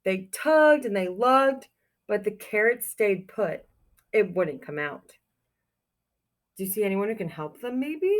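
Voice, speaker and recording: A woman reading a picture book aloud to young children like a teacher, expressive and encouraging, pausing to put questions to her listeners. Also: mid-range voice. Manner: expressive, encouraging, exclaims softly over pictures.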